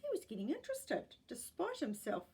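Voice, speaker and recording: A woman reading a story aloud as an expressive, scripted narrator. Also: sits high, moderate pace.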